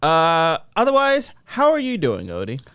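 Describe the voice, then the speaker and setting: A young man co-hosts a laid-back podcast, rambling and poking fun at himself between fillers. His voice is smooth and resonant, recorded dry and close with no room sound. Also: on the high side, huge pitch swings, measured pace, fairly bright tone, dry recording. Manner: rambling, self-deprecating, many fillers. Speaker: podcast co-host. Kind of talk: casual podcast chat.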